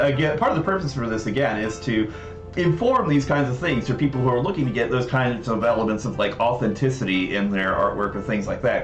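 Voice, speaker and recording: An American man from Southern California hosting a casual livestream, explaining things in a scholarly way. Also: mid-range voice. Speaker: livestream host presenting a game project. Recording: roomy echo, faint music behind. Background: faint music.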